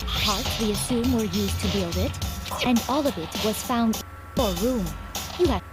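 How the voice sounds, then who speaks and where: A woman playing an earnest, accusing young student in a video game, insistent and emphatic over loud music. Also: fairly high pitch, moderate pace, lightly acted.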